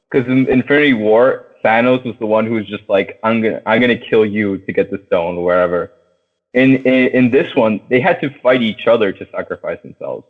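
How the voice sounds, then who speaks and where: A man co-hosting a podcast, discussing a film casually and slangily, often restarting his sentences. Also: medium pitch. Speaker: podcast co-host. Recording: thin, phone-like sound.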